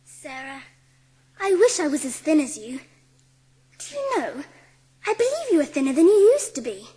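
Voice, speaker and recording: A woman's voice: high voice, sing-song, moderate pace, mellow, poor audio. A woman voicing a timid, admiring young schoolgirl with a simple, wide-eyed manner in a dramatised audiobook.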